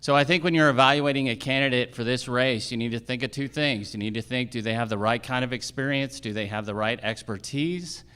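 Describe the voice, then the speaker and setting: A man's voice: mid-range voice, brisk, few pauses, fairly bright tone, smooth. A man speaking as a judicial candidate, giving a campaign speech at a live forum. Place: theater, live event.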